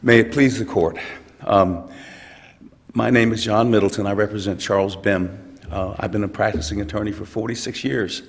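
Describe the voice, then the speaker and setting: An older male attorney, hoarse from a cold, arguing an appeal in a courtroom with frequent halting restarts. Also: on the low side, fairly even intonation. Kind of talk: appellate oral argument. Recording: long courtroom reverb.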